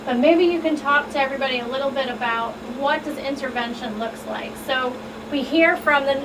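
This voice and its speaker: A woman with a high voice asks questions in a conversational manner. She sounds a bit away from the microphone, and there is clear background noise. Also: brisk, recorded from a distance. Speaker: contributor asking questions.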